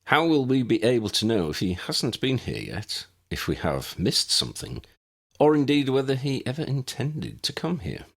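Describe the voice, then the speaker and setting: A man voicing a courteous, pedantic old gentleman scholar in an audiobook, wordy, formal and precise. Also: mid-range voice, very animated, moderate pace, vocal fry, slightly rough, voice pushed up, exaggerated voice. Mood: measured, precise.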